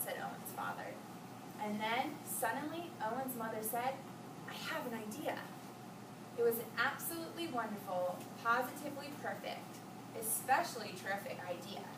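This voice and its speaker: A woman reading a storybook aloud to children in an expressive way, pausing to ask questions and echo their answers. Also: a little high. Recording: echoey, noisy room, distant mic.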